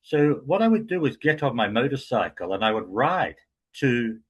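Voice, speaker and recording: Husky Australian man giving a talk. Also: mid-range voice, animated, muffled recording. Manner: measured, explanatory, with frequent fillers. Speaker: guest speaker. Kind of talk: lecture-style talk.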